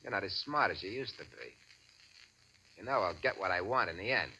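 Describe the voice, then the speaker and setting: An actor playing a ruthless, impatient gang boss in 1940s radio crime drama style, commanding and sarcastic with a mock-friendly menace. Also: male voice, low voice, lively intonation, a little fast, bright, forceful tone, slightly rough, heavily acted. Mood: mockingly menacing. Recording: dull old radio broadcast recording.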